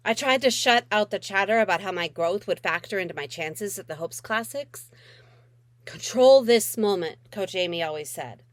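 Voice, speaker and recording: A woman's voice: mid-range voice, a little fast, few pauses, a bit bright, dry recording. A woman author reading her middle grade novel aloud in a wry first-person voice with dramatic emphasis.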